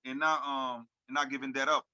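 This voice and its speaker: A man's voice: medium pitch, level tone, a little fast, bright, forceful tone, clean tone, no echo. A man in his thirties, a hip hop artist as guest, talking in a laid-back slangy way full of fillers over a video call. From born in France, grew up in Lebanon. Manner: laid-back slangy talk, many "you know what I'm saying" fillers. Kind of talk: casual video call interview. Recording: dull lo-fi video call audio.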